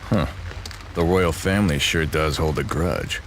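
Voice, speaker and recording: A gruff, blunt mercenary in a fantasy game. His voice is extremely deep and unimpressed, with rain clearly heard behind it. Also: unhurried, a little hiss or hum. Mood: blunt, curious. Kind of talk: video game voice acting.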